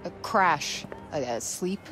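An actress playing a brash, sardonic young adventurer in a video game, with a casual, slangy, sarcastic way of talking. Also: female voice, low-pitched, expressive, slow. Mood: sarcastic, reluctant. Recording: music behind the voice.

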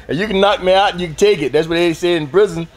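A man's voice: sits high, fast. An older man bantering casually with unfazed, playful bravado. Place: large hardware store aisle.

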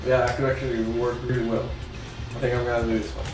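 A man's voice: mid-range voice, level tone, fast. A young man chatting informally as he works through drawing ideas, checking that others follow him. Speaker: manga artist, show host. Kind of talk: casual chat while drawing. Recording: echoey room, distant mic, music behind.

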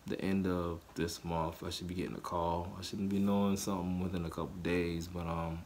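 A man's voice: sits low, level tone, a little fast, darkish tone, a little hiss or hum. A man in his forties talking loosely to camera in a casual vlog from his living room, full of fillers. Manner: loose and rambling, many fillers. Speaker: vlogger. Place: living room with couch, indoors.

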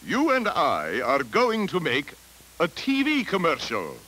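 Scheming 1970s cartoon adman: brash, greedy, lively swooping delivery, bright edge. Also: fairly high pitch, breathy edge, exaggerated voice, poor audio. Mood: brash, eager. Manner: brash, fast-talking salesman's patter. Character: scheming, greedy male advertising executive. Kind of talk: 1970s animated educational film acting.

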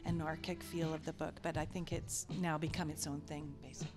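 Woman in her fifties, low-pitched, thoughtful and chatty, handheld mic at a press event, music in the background. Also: flat delivery, a little fast. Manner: thoughtful, chatty, laughs often. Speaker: TV writer-producer, guest. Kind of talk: casual interview answers. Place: event press wall, indoors. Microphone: handheld interviewer mic.

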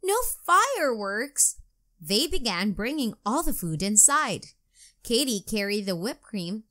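A woman narrating a children's storybook as a read-aloud, in a deliberate, clearly enunciated storytelling manner. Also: medium pitch, animated, fairly bright tone.

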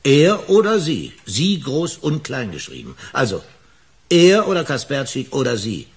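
An actor playing a sceptical police detective with a husky voice and a probing manner in a radio play. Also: male voice, medium pitch, huge pitch swings, a little slow, exaggerated voice.

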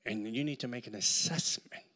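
A middle-aged man with a Puerto Rican accent preaching a sermon with a handheld mic in a large church hall, swinging between shouts and near-whispers. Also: medium pitch, moderate pace. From Puerto Rico. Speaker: guest preacher.

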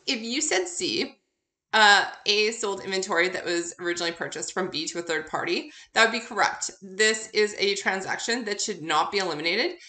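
A woman's voice: medium pitch, bright, forceful tone, roomy sound. A woman instructor giving an informal recorded lecture, explaining in a conversational way and posing questions to the listener. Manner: conversational, poses questions and answers them. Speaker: university accounting instructor.

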